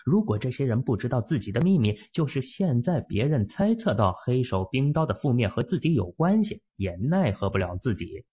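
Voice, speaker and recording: Male audiobook narrator, husky low voice, lively pitch, dull lo-fi recording. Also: normal speed, few pauses. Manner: expressive storytelling with lively pitch swings. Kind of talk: dramatic novel narration.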